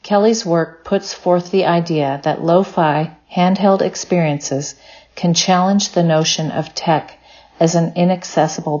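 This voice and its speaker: A woman's voice: on the low side, a little mellow, dull audio. A woman from Texas hosting an interview podcast, reading a scripted intro and then chatting with a guest. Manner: reads intro evenly, then chatty and laughing. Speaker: podcast host.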